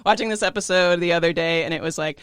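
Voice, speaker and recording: A man's voice: on the high side, fast talker, a bit bright, clear, resonant tone, no echo, studio quality. A man chatting casually and informally on a podcast, swearing freely. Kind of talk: casual chat.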